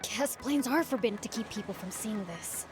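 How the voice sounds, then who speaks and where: A woman's voice: mid-range voice, expressive, normal speed, music in the background, natural voice. A woman playing a brash young demon prince in a video game, with a blunt, casual, boyish way of talking. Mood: baffled disdain. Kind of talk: video game character acting.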